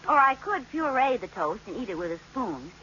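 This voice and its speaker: An actress playing a playfully sarcastic housewife with teasing comic delivery in a 1940s radio sitcom. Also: female voice, a little high, sing-song, a little fast, bright, forceful tone. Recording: scratchy muffled old-time radio recording.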